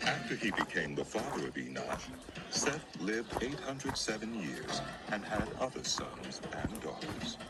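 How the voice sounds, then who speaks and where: A man giving a solemn, measured narration of a dramatized Bible reading. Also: sits low, big pitch swings, gravelly. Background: prominent music.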